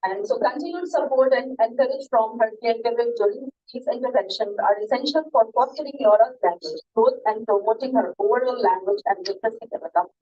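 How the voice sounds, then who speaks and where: A woman's voice: fairly high pitch, fast talker, few pauses, dull audio. A woman student answering classmates' questions after her presentation, with many fillers and restarts, on a video call.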